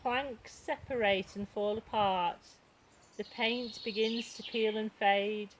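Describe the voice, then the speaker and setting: A woman's voice: mid-range voice, steady tone, slow, a little hiss or hum. A woman reciting a poem aloud. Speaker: poetry reader. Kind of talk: poetry recitation.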